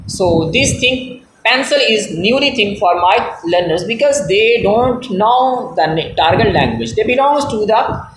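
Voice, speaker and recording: A Pakistani-accented man, a native Punjabi speaker, gives an English lesson at an unhurried, slow pace. He circles back, repeats his points and pauses on fillers as he searches for words. His voice carries a roomy echo over a faint background hiss. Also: sits high, expressive, a bit bright. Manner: slow, repetitive, hesitant with frequent fillers. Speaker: teacher.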